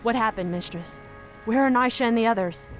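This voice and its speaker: A woman's voice: a little high, fairly quick, faint music behind, lightly acted. A woman playing a loyal, dutiful elf warrior in a game cutscene, speaking with respectful urgency. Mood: alarmed. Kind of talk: video game cutscene acting.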